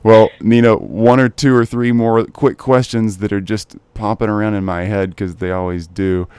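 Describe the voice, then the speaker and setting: A man with a very deep voice hosts a relaxed interview, upbeat and encouraging, filling his questions with "uh" and "so". His voice is smooth and resonant, and the sound is dry and close. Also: moderate pace, no echo. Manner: upbeat, encouraging, lots of fillers. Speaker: podcast host. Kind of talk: casual interview.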